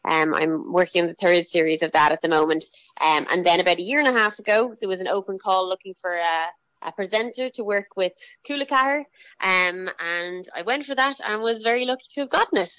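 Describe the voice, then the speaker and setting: A young Irish woman, a children's TV presenter, talks through a radio interview. She is chatty and keeps pausing on fillers and restarting her sentences. Her voice sits low and sounds dry and close. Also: fairly bright tone, no echo, poor audio. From Ireland.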